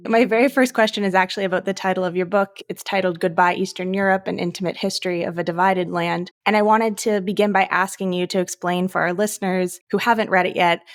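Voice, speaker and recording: A woman hosting a podcast, reading a scripted intro and asking clear, composed interview questions. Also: fairly low pitch, fairly even intonation, fairly quick, no echo, clean studio sound. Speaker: podcast host.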